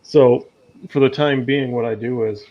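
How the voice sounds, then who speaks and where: A man's voice: mid-range voice, steady tone, measured pace. Man on a dull lo-fi mic. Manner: hesitant, reads settings aloud. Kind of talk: casual tech chat. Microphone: USB mic.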